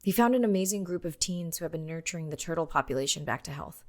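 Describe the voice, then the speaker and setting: An actress playing a dedicated young woman ocean scientist and diver in a video game, calm and matter-of-fact. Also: sits low, fast, dry recording, clean studio sound. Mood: reflective. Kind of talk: naturalistic game voice acting.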